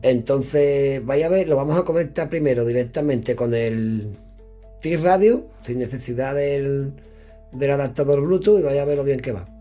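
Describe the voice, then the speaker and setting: Mellow-voiced man demoing radio gear. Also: mid-range voice, moderate pace, faint music behind. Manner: chatty, thinking aloud while fiddling with devices. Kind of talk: casual product demonstration. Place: indoors.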